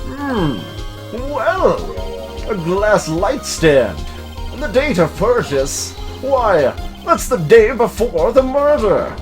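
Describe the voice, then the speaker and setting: A man's voice: sits high, big pitch swings, very slow, pitched above the performer's own voice, full character voice. A man voicing an old, ponderous courtroom judge in a video game, formal and easily surprised. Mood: puzzled, surprised. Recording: music playing behind the voice.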